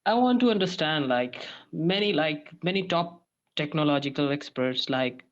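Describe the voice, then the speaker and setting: A man from India, hosting a casual podcast conversation, halting and full of restarts and "like" fillers. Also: fairly high pitch, animated. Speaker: podcast host. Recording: dull lo-fi sound.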